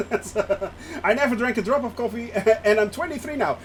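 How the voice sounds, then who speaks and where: A man from the Netherlands with a Dutch accent, chatting casually and excitedly as a streamer while he flies a simulator, laughing often. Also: sits high, moderate pace, a little hiss or hum.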